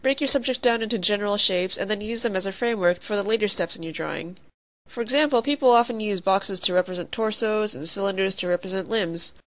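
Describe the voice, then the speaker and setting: A woman's voice: mid-range voice, fast, no room sound, poor audio. A woman with a stuffy, cold-stuffed voice, hosting a casual art tutorial, chatty and profane, with lots of "like". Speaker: art channel host.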